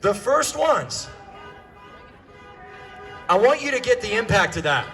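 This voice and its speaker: A man's voice: high voice, very animated, takes it slowly, faint music behind. An American man delivering an impassioned political rally speech, projecting his voice and repeating key phrases for emphasis. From United States. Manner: projected, often shouting. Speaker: political activist addressing a rally.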